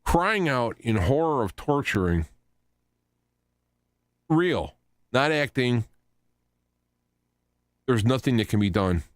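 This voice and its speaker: A man hosting a radio talk show, giving an unscripted commentary in a halting, rambling way, often repeating and restarting his phrases. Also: medium pitch, big pitch swings, no room sound, clean studio sound. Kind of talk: unscripted news commentary monologue.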